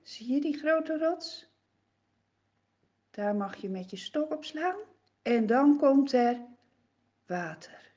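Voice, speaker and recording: A woman voicing a patient, calm divine figure who gently gives instructions, in a dull lo-fi recording. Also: high-pitched, takes it slowly, long pauses. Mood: calm instructing.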